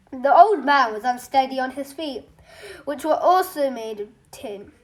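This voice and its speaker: Slow, high-pitched storybook reader. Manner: halting read-aloud with stumbles and self-corrections. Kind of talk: children's audiobook reading, scripted narration.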